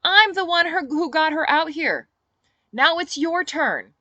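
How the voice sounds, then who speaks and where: A woman voicing a sharp, bossy, sarcastic married woman in a story reading. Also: sits high, animated, measured pace, dry recording, highs cut off, voice pushed up, heavily acted. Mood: indignant. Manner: snappy, sarcastic, emphatic.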